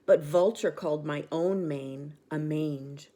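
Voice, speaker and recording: A contrite male lion from a storybook, played by a woman reader. He begs formally for mercy in a low, mellow voice. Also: slow.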